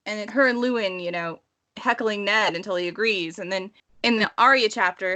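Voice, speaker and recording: A woman's voice: medium pitch, bright, forceful tone. A woman co-hosting a casual book discussion, explaining things enthusiastically and with tangents. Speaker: podcast co-host.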